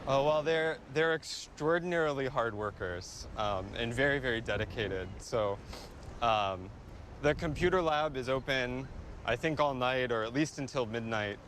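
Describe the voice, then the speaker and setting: Man, middle pitch, easygoing interview, frequent ums, faint outdoor noise. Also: lively intonation, normal speed, a bit bright. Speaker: university teacher.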